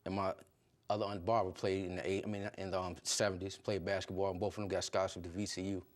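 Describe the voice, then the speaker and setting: Fast-talking low-voiced man, casual coach. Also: flat delivery. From Virginia, United States. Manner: colloquial, peppered with "you know". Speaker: high school football coach, interview guest. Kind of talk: casual chat.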